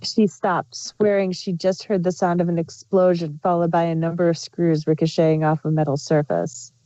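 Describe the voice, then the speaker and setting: A woman's voice: lowish voice, little pitch movement, moderate pace, dark tone. A woman narrating fan fiction as an amateur story reader. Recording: muffled, roomy home recording.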